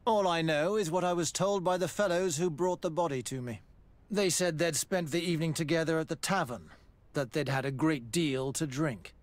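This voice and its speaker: An actor playing a courteous, matter-of-fact military doctor and scholar in a video game, speaking formally and precisely. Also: male voice, on the high side, normal speed, no echo, close to an everyday voice. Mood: regretful, matter-of-fact. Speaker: army doctor. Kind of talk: video game dialogue acting.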